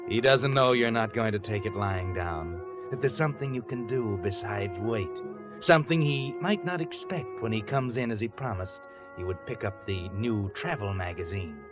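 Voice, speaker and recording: An actor playing an ominous, taunting storyteller, narrating a 1940s radio mystery in a deliberate, foreboding manner. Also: male voice, lowish voice, lively intonation, natural voice. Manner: deliberate, foreboding, addressing the listener as you. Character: ominous, taunting unseen male storyteller of crime tales. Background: music.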